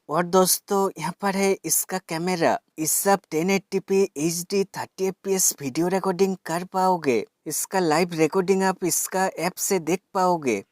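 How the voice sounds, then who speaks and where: A man's voice: sits high, dry recording. A man narrating a product unboxing and tutorial as a tech reviewer, explaining things methodically step by step.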